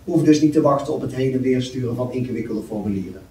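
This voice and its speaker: A man's voice: medium pitch, rapid-fire, dark tone, lightly acted. A man playing a polite, reserved bank office worker with a courteous, formal manner at a script table read. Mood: courteous, businesslike. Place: meeting room, indoors. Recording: roomy, distant mic, faint noise.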